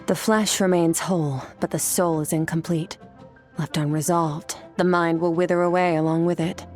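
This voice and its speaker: A woman's voice: on the low side, steady tone, a little mellow, soft background music, close to an everyday voice. A woman voicing an aloof, terse swordmaster. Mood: cryptic. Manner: terse, detached, measured. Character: cool, aloof female swordmaster. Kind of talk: game dialogue.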